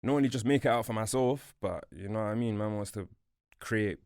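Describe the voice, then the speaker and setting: Laid-back young man, Portuguese-born, low voice. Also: a little fast, gravelly, a little mellow, no echo, clean studio sound. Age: young adult. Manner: laid-back street slang, fillers like "you feel me", "bro". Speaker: guest. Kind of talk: casual interview chat. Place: podcast studio.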